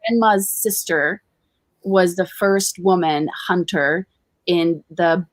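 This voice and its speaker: A woman's voice: fairly low pitch, takes it slowly, dry recording. A woman from Bosnia talking casually and frankly as an interview guest, at ease with swearing. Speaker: interview guest, professional dominatrix and educator. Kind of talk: casual, candid interview chat.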